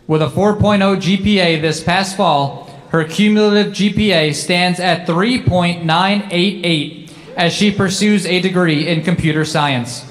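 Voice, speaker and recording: A man presenting at an awards ceremony, reading a formal introduction in a measured, announcing way. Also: high-pitched. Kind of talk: formal introduction read aloud.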